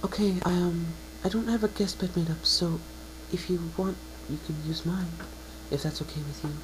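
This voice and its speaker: A woman voicing a shy, gentle young female pegasus pony, hesitant, polite and caring, in a fan dramatic reading. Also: on the low side, steady tone, moderate pace, lightly acted. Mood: hesitant, accommodating. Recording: noisy home recording with mains hum.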